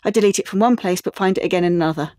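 An actress playing a sensitive teenage art student, confiding haltingly in an audio drama monologue. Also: female voice, lowish voice, mellow, no echo, clean studio sound, natural voice. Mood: anguished.

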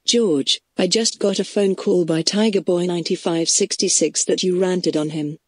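A man's voice: high-pitched, steady tone, normal speed, husky, no room sound. A text-to-speech male voice playing a stern, scolding parent.

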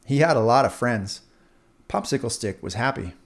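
A man narrating a scripted children's story aloud in an expressive storyteller's manner. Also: medium pitch, moderate pace. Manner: expressive, animated.

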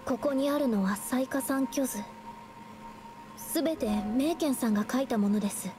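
An actress playing a gentle, earnest young woman painter in an anime game, speaking softly and politely as she explains carefully. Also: high voice, normal speed, a little hiss or hum, close to an everyday voice. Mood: sober, sad. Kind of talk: Japanese anime game voice acting. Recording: game dialogue over music.

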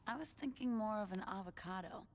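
An actress playing a laid-back young stoner gang lieutenant in a video game, casual, flippant and slangy. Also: female voice, medium pitch, fairly quick, lightly acted. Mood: sheepish, casual. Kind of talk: video game cutscene acting. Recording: long hall reverb, faint noise.

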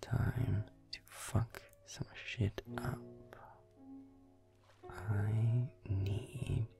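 Grumpy, sarcastic werewolf boyfriend for gaming roleplay audio male performer: very low, gravelly, breathy, irritated sweary muttering. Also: level tone, drawn-out words, whispered, music in the background, full character voice. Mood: frustrated.

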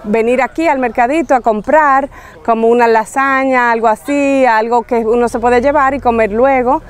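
A woman's voice: fairly high pitch, steady tone, normal speed, smooth. A middle-aged woman explaining things casually in an outdoor interview as a market organizer.

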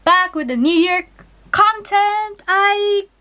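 A young woman with a cold, chatting excitedly as she unboxes and shows clothes in a haul video. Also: very high voice, takes it slowly, noisy background. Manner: chatty and excitable, chuckles at prices. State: has a cold. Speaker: channel host unboxing a lucky bag. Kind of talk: casual haul vlog voice-over. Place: indoors at a table.

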